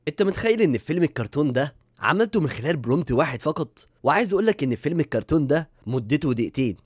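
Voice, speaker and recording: A man's voice: on the high side, very animated, racing, darkish tone, slightly rough, soft background music. A man with an Egyptian accent giving a casual, enthusiastic and emphatic tutorial voice-over as host.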